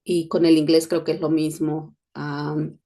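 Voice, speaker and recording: A middle-aged Mexican-born woman speaks in a neutral Spanish accent, her voice low and warm. She talks in a steady, flat-toned, reflective way, like a host sharing her story on a podcast. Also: unhurried. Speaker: podcast host, education consultant. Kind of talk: personal monologue podcast.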